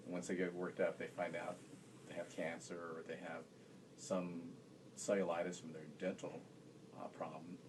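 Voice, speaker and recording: A man with a very deep, flat voice talks in an interview. He is hesitant and halting. The recording has clear background noise. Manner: hesitant, frustrated, many fillers. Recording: noisy room ambience.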